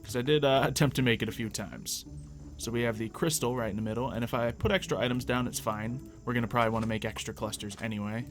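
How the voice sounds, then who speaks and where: A man's voice: medium pitch, fairly even intonation, fast. Chatty man over music, casual gameplay commentary. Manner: chatty, thinks aloud. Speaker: video game let's-player.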